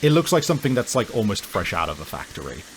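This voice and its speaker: A man improvising scene descriptions as a game master, halting as he searches for words. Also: on the low side, very animated, a little hiss or hum. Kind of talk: improvised tabletop game narration.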